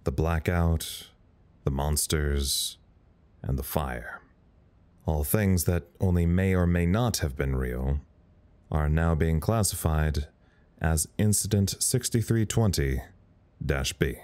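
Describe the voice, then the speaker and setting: An actor playing a weary, self-deprecating communications officer in an audio drama, talking in a rambling, wry way. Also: male voice, a bass voice, takes it slowly, a little mellow. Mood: weary, dry. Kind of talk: audio drama recorded log monologue.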